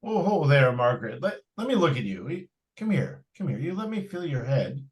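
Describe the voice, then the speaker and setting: Patronizing father acted by a man, lively intonation, stammering starts, doubtful, indulgent, vintage radio drama. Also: a little high, brisk. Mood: concerned, firm. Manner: hesitant stammers, indulgent, dismissive. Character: patronizing, skeptical middle-aged father of a young girl. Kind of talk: 1940s radio drama acting.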